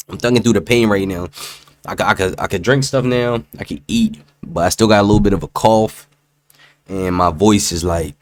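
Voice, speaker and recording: A young man streaming his reaction to a video in slangy, casual talk, his voice still hoarse from a sore throat. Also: on the low side, dry recording. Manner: slangy, loose, talks straight to viewers. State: hoarse, voice recovering from strep throat.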